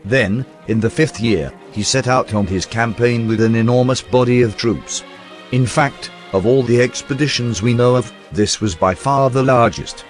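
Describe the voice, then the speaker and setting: A man reading a historical account aloud in a measured, storytelling documentary narration. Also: medium pitch, faint music behind. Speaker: reader of historical text.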